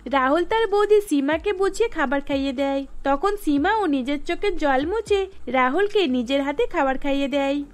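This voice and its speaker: A woman narrating a cartoon story in an even storytelling manner. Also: high-pitched.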